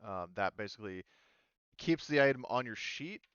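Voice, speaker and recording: A man's voice: medium pitch, expressive, normal speed, fairly bright tone, clear, resonant tone, no echo, dull audio. A man acting as game master, casually explaining tabletop rules in a rambling, informal way.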